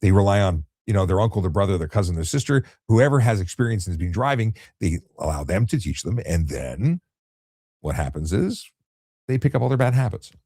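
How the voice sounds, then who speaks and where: A middle-aged man with a low voice, calmly explaining things in a relaxed late-night live chat as he reads out viewer questions. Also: fairly quick, no echo, studio quality. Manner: calm, explanatory, many restarts and fillers. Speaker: retired traffic police officer, live-stream host.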